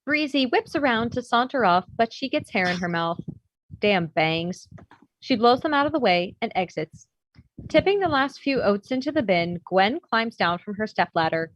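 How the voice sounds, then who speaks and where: A woman's voice: on the high side, animated, normal speed, dry recording. A woman narrating the stage directions of a script at a table read. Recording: dull, slightly lo-fi audio.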